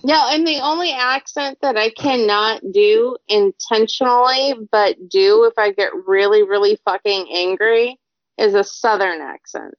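A woman's voice: medium pitch, unhurried, no room sound. A woman chatting casually as a podcast co-host, blunt and swearing freely. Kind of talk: casual chat. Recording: dry, dull lo-fi sound.

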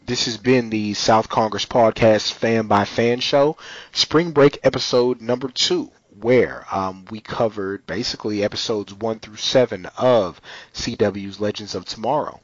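A man's voice: lowish voice, unhurried, dry recording. A man hosting a podcast, chatting casually in an informal, slangy way. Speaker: podcast host. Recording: dull, lo-fi podcast audio.

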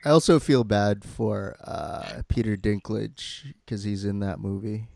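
A man hosting a podcast in casual, halting chat peppered with profanity. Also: fairly low pitch, creaky, a little mellow, dry recording, clean studio sound. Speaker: podcast host.

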